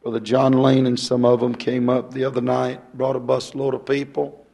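A hoarse male pastor, talking folksy and rambling, then reading scripture in a rising preaching cadence. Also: medium pitch, completely flat delivery, moderate pace, mellow.

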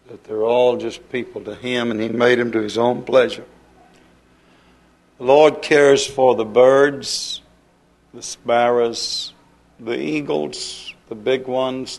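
A man delivers an informal sermon in homespun, folksy language. His voice is mid-pitched and stays flat and even, with little rise or fall. He slows right down to walk through his lists one item at a time. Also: poor audio. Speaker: preacher.

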